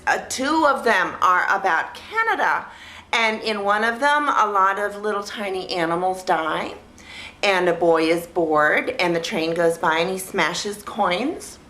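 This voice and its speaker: A woman vlog host talking casually and ramblingly in a naive, childlike way, halting and repeating phrases as she searches for words. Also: mid-range voice, pushed and bright, echoey room. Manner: childlike, naive delivery in simple sentences. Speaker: vlog host reviewing films. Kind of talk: casual rambling talk to camera.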